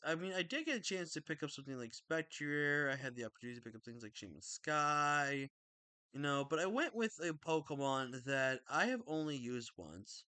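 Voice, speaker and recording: Rambly excitable young man. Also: a little high, clear, resonant tone, dry recording. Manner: rambling, self-correcting, excitable. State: slightly raspy throat. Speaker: draft league player. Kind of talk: casual video commentary.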